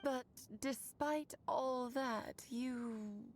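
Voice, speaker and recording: An actress playing an elegant, caring magical lady in a video game, articulate and analytical, placing deliberate emphasis on her words. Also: high voice, faint music behind. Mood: concerned. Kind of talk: scripted video game dialogue.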